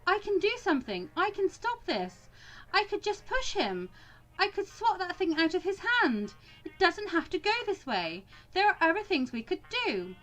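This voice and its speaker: A woman's voice: high voice, very animated, a little slow, low-quality recording. A woman voicing an anguished, bitter young female student from a visual novel with emphatic, dramatic delivery. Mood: panicked, pleading.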